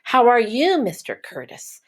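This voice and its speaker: A woman voicing a gentle, caring father seahorse in a children's storybook, politely encouraging those he meets. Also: on the high side, huge pitch swings, a little slow, slightly rough, pitched above the performer's own voice, exaggerated voice. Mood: friendly, encouraging. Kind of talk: children's storybook read-aloud.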